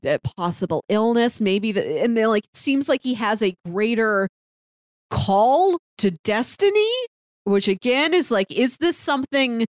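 A woman's voice: fairly high pitch, expressive, unhurried, no echo, studio quality. A woman co-hosting a casual TV review chat, thinking aloud and restarting her phrases as she goes. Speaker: podcast co-host.

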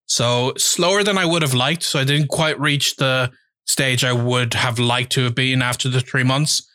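Bright-voiced man, reflective. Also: mid-range voice, no echo. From Ireland. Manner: reflective and candid, with frequent pauses and fillers. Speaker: language-learning vlogger. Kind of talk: personal update to camera. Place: home.

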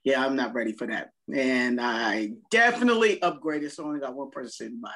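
A woman in her fifties co-hosting a podcast, chatting casually and telling stories in a slangy, animated way, acting out what people said. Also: rumbling low, brisk. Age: 50s. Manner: animated, slangy, acts out quotes. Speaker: podcast co-host. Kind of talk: casual chat, storytelling.

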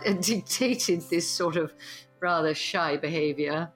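English woman, lowish voice, reflective casual reminiscing, music underneath. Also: a little slow. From England. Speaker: discussion participant.

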